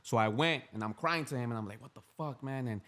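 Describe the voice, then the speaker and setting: Man, 30s, podcast guest, quick-paced, candid, rambling, slangy. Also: mid-range voice, fairly bright tone. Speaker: podcast guest, street activist. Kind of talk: casual podcast chat.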